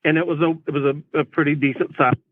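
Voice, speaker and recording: A man's voice: fairly high pitch, fairly even intonation, fast talker, no echo, phone-quality sound. A polite, plain-spoken man, a police officer, recounting events conversationally as an interview guest.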